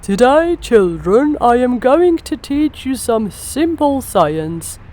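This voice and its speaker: A woman's voice: fairly high pitch, sing-song, slow pace, darkish tone, voice pushed up. A woman voicing a cheerful father pig who teaches children in a storybook, instructive and encouraging. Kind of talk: children's storybook read-aloud.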